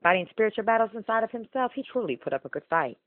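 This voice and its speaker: A woman's voice: fairly high pitch, lively intonation, rapid-fire, no echo, narrow, tinny audio. A woman performing spoken-word slam poetry in an emotional, rhythmic, rhyming delivery. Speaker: slam poet.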